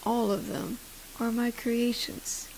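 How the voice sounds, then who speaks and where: A woman playing a high-voiced, slow, obsessive sweetheart. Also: flat delivery, gravelly, a little mellow, a little hiss or hum, rough recording. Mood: possessive, gloating. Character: obsessive, possessive woman scientist, a menacing false sweetheart. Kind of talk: scripted audio roleplay addressed to the listener.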